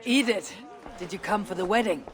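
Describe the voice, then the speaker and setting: An actress playing a tough, terse female Viking raider in a video game, with a gravelly voice and dry humor. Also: mid-range voice, normal speed, close to an everyday voice. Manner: blunt, terse. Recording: crowd murmur behind.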